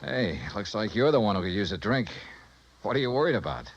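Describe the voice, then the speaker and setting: Wry American radio detective, lively pitch. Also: male voice, muffled recording. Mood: casual, probing. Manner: brisk, wisecracking, clipped expense-report delivery. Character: wry, cocksure male freelance insurance investigator. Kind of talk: 1950s radio detective acting and first-person narration. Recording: vintage radio broadcast with music.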